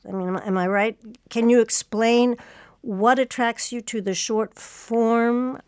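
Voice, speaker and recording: A woman hosting an arts interview, asking thoughtful questions in a measured, articulate way with pauses between phrases. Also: a little high, steady tone, clean tone, no room sound, studio quality. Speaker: interviewer, host.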